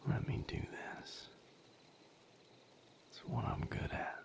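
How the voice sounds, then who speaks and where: A man playing a tender, teasing, battle-worn magical boyfriend in an intimate romantic audio roleplay, soft-spoken and breathy with a gravelly edge. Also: a little hiss or hum, lightly acted. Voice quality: gravelly, breathy, half-whispered. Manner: unhurried, soft-spoken, intimate murmuring to a partner.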